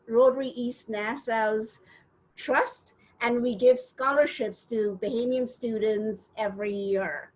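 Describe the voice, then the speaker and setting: Measured middle-aged woman from India. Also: fairly high pitch, poor audio. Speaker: interview guest, professor. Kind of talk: casual video-call interview.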